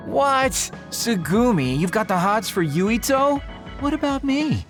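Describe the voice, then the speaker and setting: A man's voice: very high voice, big pitch swings, measured pace, fairly bright tone, faint music behind, exaggerated voice. A man voicing a flippant, easygoing young soldier in an anime-style video game, playful and breezy. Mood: teasing.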